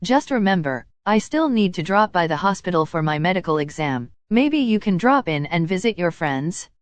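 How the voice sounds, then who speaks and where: A woman's voice: lowish voice, big pitch swings, normal speed, dry recording. A woman voicing a caring older sibling in a story reading, speaking in a casual, reassuring way. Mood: caring, practical. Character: caring older sibling, boy reborn in girl's body.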